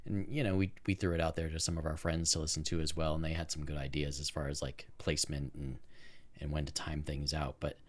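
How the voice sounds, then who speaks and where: A man's voice: very deep voice, level tone, a little fast. A man co-hosting a podcast, talking casually and informally with plenty of enthusiasm. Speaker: podcast co-host and amateur theme music maker. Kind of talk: casual chat.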